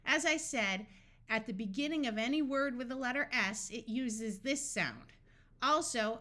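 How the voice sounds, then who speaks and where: A woman narrating a scripted phonics lesson as a reading tutor, deliberately sounding out words piece by piece. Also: a little high, bright, forceful tone.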